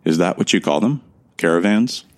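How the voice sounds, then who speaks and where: An actor playing a bewildered, introspective amnesiac from Earth, telling his story in the first person in a measured, gravelly voice for an audio drama. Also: deep voice, lightly acted. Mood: bewildered, earnest.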